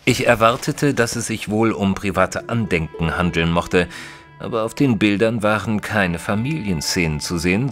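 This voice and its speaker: An actor playing an earnest detective's companion, narrating an audio drama in a measured, literary way. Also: male voice, lowish voice, lightly acted.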